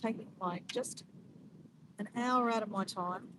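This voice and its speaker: A woman's voice: medium pitch, a little slow. An Australian woman with an Australian accent chatting in a casual, rambling, informal way as she hosts a livestream. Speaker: livestream host. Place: outdoors in nature near water. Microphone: phone or camera on a tripod. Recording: noisy outdoor livestream, clear background noise.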